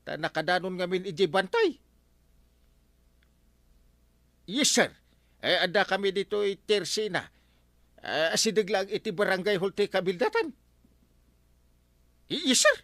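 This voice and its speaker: A man's voice: high voice, very animated, normal speed, pauses often, fairly bright tone, dry recording, studio quality, exaggerated voice. A man playing a bumbling, hesitant village captain and argumentative husband in a comedy radio drama. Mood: nervous, eager.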